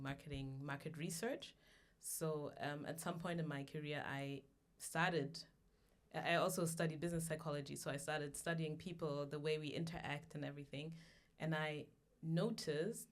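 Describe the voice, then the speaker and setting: A woman from Germany, a podcast guest speaking in a thoughtful, measured way with frequent pauses. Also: sits low. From Germany (Hamburg). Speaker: guest, founder of a business platform. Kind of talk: conversational podcast interview.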